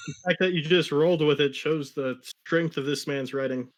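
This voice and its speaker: A man co-hosting a casual chat, dropping in deadpan remarks. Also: a little high, normal speed. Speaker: co-host interjecting.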